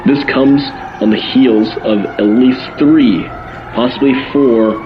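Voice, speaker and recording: A man playing a serious television news reporter in an audio drama, measured and formal. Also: medium pitch, fairly even intonation, close to an everyday voice. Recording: muffled broadcast, sirens and noise behind.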